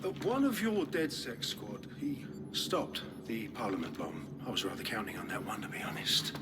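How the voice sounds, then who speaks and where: Smug, cynical villain. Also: male voice, fairly low pitch, wildly swooping pitch, normal speed, loud music behind the voice. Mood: smug, sardonic. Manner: smug, sardonic, commanding. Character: cynical, foul-mouthed male villain commanding armed men. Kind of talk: video game cutscene acting.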